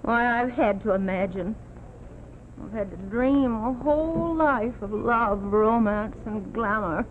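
Bitter, melodramatic wife, tinny old soundtrack. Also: female voice, fairly high pitch, a little hiss or hum. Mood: bitter, yearning. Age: middle-aged. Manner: theatrical, drawn-out and accusing. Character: bitter middle-aged wife, self-pitying bedridden dreamer, melodramatic. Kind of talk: 1950s live television melodrama acting. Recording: muffled phone-like old TV soundtrack, music.

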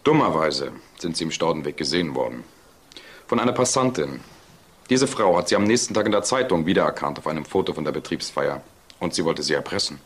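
A man's voice: very deep voice, lively intonation, a little fast, breathy edge, heavily acted. An actor playing a plain-spoken male police detective in a naturalistic crime audio drama. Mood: matter-of-fact. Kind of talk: naturalistic German radio drama acting.

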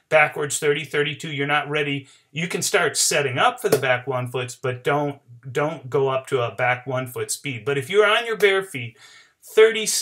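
Bright-voiced middle-aged male coach. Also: on the high side. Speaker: barefoot waterskiing coach. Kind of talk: informal instructional explanation. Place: small cluttered indoor home office.

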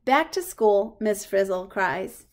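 A woman reading a picture book aloud for young children in a simple, expressive way. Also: medium pitch, slow, a little hiss or hum. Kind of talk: children's storybook read-aloud.

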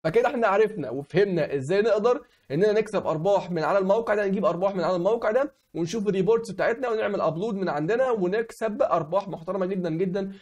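Fast-talking Egyptian man, hoarse. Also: high-pitched, darkish tone. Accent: Egyptian Arabic. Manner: fast explaining, keeps asking "okay?". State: hoarse from a cold. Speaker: online tutorial host. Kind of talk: screen-recorded tutorial.

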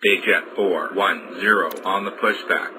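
A man's voice: sits low, steady tone, a little slow. A man voicing clipped, procedural air traffic radio calls in a scripted game. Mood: calm, businesslike. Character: airport radio voice giving clipped pilot and controller calls. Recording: loud aircraft noise behind. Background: loud airplane engine and airport ambience.

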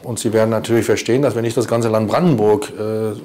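A man's voice: on the low side, fairly even intonation, fast, a little mellow. A man in his fifties speaking as a prosecutor in a formal interview. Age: 50s. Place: indoor office.

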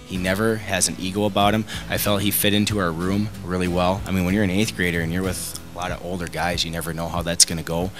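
A man's voice: sits low, flat delivery, fast, hardly any pauses, fairly bright tone. A man, a sports coach, talking at a press conference. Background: music bed.

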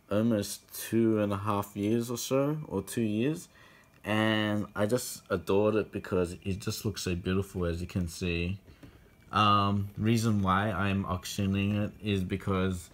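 A man showing off a boxed collectible figure in a casual home video, talking hesitantly with many ums and restarts, recorded on a handheld phone indoors. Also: low-pitched. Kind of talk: casual unboxing vlog. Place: indoor room at a table.

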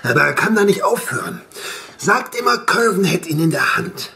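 An actor playing a drunken, wary young sailor in a radio drama, muttering and halting. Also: male voice, high voice, big pitch swings, vocal fry, bright, forceful tone, exaggerated voice. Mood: wary, gossiping.

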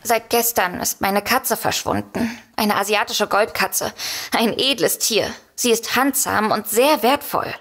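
A woman's voice: mid-range voice, measured pace, a bit bright. An actress playing a composed, sensible but worried woman novelist, explaining things earnestly in an audio drama. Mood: worried, indignant.

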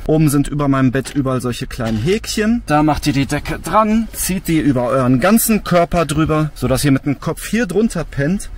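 A man in his thirties giving casual, colloquial and enthusiastic explanations to camera as a vlogger. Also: medium pitch. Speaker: vlogger, host. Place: in and around a camper van. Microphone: handheld camera at arm's length.

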